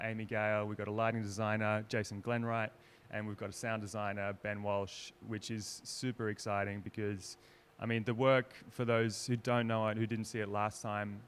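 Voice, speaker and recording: Man, lowish pitch, clear smooth tone, casual interview guest, tentative, thoughtful. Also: fairly bright tone. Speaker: theatre maker being interviewed. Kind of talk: casual, explanatory interview talk.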